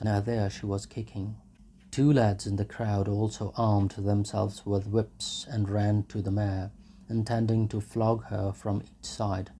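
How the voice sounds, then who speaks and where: A man's voice: on the low side, dark tone. A man reading literary fiction aloud as a dramatic audiobook narrator. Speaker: audiobook reader. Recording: noisy home recording.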